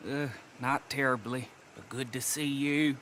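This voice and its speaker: An actor playing a meek, uneasy train station clerk in a video game, speaking politely and hesitantly. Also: male voice, medium pitch, fairly even intonation, a little slow, fairly bright tone, slight background noise, close to an everyday voice. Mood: nervous, polite. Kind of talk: video game cutscene acting.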